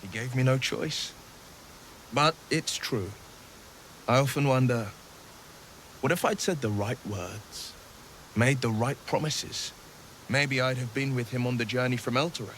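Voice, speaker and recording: Man acting an earnest noble hero, mid-pitched. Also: fairly bright tone, a little hiss or hum, lightly acted, poor audio. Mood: regretful, grateful. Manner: heartfelt, eloquent, measured. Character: earnest, noble young male adventurer hero. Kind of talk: dramatic video game acting.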